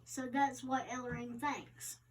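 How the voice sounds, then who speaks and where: High-voiced woman reading haltingly, off-mic. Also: little pitch movement. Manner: halting reading with stumbles over words. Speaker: interviewer in a read-aloud interview. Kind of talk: reading a printed interview aloud. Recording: distant microphone.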